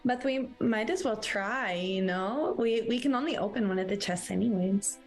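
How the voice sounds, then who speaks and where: A woman's voice: medium pitch, normal speed. A woman playing a tabletop role-playing game, talking casually with the other players. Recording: music behind the voice. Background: music.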